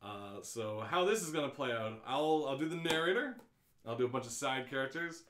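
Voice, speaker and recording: A man's voice: medium pitch, lively intonation, normal speed, fairly bright tone. A man hosting a casual, unrehearsed live show, talking and reading aloud in a rambling, jokey way. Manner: rambling, self-interrupting, jokey. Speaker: show host. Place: indoors in an office. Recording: roomy, echoey office.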